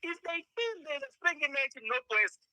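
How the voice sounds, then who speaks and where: A woman's voice: sits high, moderate pace, no echo. A woman co-hosting a true-crime chat, acting out quotes with sarcasm. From South Africa. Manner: animated, acts out quotes with sarcasm. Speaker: co-host.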